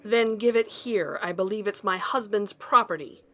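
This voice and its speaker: A woman's voice: medium pitch, moderate pace, fairly bright tone, natural voice. A woman playing a brazen, coolly menacing intruder who makes threats politely in an audio drama.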